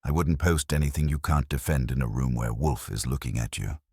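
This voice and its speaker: A man voicing a wry, quick-witted detective's assistant in a scripted story, deadpan. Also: extremely low pitch, slightly rough, no echo, clean studio sound, full character voice. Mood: pointed.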